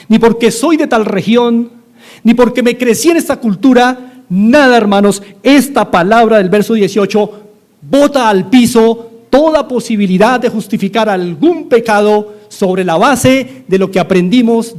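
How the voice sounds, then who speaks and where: A man's voice: high-pitched, unhurried, darkish tone. A middle-aged man with a Colombian accent, preaching a sermon as a pastor, earnestly repeating his exhortations. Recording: studio-like radio sermon.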